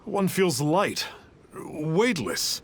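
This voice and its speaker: A man's voice: fairly high pitch, sing-song, very slow, full character voice. A man playing a pompous, cowardly fraud in a video game, speaking in a theatrical, self-important way. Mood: bewildered, smug. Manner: pompous, theatrical, archaic self-reference as 'one'. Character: pompous, cowardly male charlatan posing as immortal.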